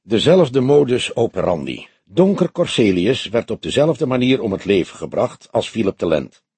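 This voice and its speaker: Veteran male detective for crime audiobook, played by a male reader: husky, dry-witted, unhurried grumbler. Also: mid-range voice, very animated, mellow, no room sound, full character voice. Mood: thoughtful. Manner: grumbling, laconic. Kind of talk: acted dialogue in an audiobook.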